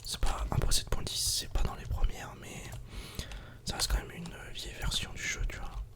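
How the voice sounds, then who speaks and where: A man whispers a calm, casual ASMR game commentary in a breathy, unvoiced murmur, close to the microphone. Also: moderate pace. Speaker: ASMR gaming video creator.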